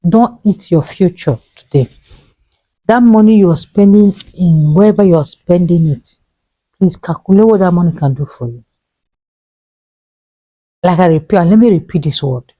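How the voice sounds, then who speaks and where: A woman in her thirties with a Nigerian English accent, earnestly pleading with viewers and repeating her points, dropping to a low hush for emphasis. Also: normal speed, dry recording, rough recording. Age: 30s. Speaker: vlogger giving money advice. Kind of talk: earnest motivational talk to camera.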